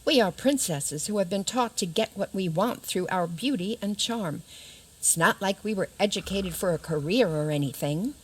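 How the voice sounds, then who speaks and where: A woman acts out a self-assured, flirtatious fairy-tale princess for radio theater. Her mid-pitched voice moves up and down freely, with a coy, theatrical delivery. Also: expressive, normal speed, breathy edge, rough recording. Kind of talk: community radio theater acting.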